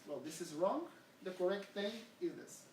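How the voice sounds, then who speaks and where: A man's voice: high-pitched, moderate pace. Portuguese-accented man lecturing in an echoey room. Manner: earnest, poses questions to the audience. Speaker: education researcher presenting. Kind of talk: academic seminar presentation. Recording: echoey room, from far off, faint hiss.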